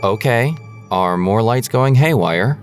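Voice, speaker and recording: A practical, dismissive family man in a spooky audio drama, acted by a man. His mid-pitched delivery swings up and down, with music clearly behind it. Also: lively intonation, slow pace. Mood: dismissive, reassuring. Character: dismissive, practical husband and father in haunted house.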